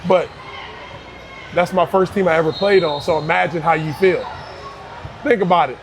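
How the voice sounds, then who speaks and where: A man's voice: on the high side, moderate pace, a little hiss or hum, low-quality recording. A man in his thirties from Barbados, a youth basketball coach talking earnestly in an interview, often restarting his words. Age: 30s. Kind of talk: casual interview conversation.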